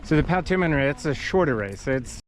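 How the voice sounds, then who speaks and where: A man's voice: on the high side, lively intonation, a little fast, low-quality recording. A man hosting a casual field interview at a race event, upbeat with short encouraging replies. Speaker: podcast host interviewing runners. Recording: outdoor event with crowd noise.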